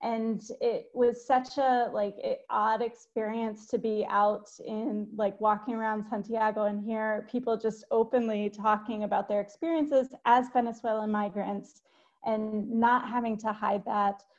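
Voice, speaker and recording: Higher-voiced woman on a muffled video call. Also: flat delivery, a little slow, darkish tone. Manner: conversational, fillers like "like" and "kind of". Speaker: panelist. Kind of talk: academic webinar discussion. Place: home room. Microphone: video call with earbuds. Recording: dull video call audio.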